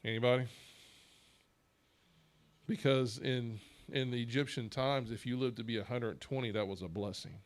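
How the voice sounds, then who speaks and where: A man leading a church Bible discussion in an earnest, measured way, asking rhetorical questions. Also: mid-range voice, steady tone.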